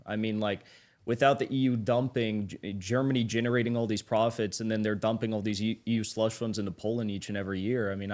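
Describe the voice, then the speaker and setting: A man's voice: fairly low pitch, a little mellow. A man as a livestream guest, arguing politics in a hesitant way that restarts phrases often.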